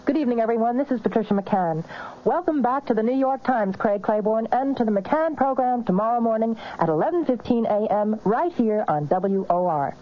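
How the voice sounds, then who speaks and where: High-voiced woman radio host. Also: lively intonation, fairly quick. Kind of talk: scripted broadcast announcement. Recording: muffled vintage radio recording.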